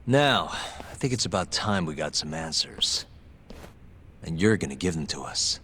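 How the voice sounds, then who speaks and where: A man's voice: a bass voice, big pitch swings, unhurried, a bit bright, slight background noise, full character voice. An actor playing a tough, cool and sardonic investigator in a video game cutscene, confident and taunting as he presses for answers. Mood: cool interrogation. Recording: dramatic music behind the voice. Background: background music.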